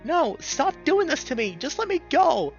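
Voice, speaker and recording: A frightened young man, as a male narrator plays him, pleads and accuses in breathless outbursts. The voice is pushed high and swings about wildly, as in a dramatic visual novel. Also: sing-song, moderate pace, creaky, highs cut off, soft background music, heavily acted. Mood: hysterical, pleading.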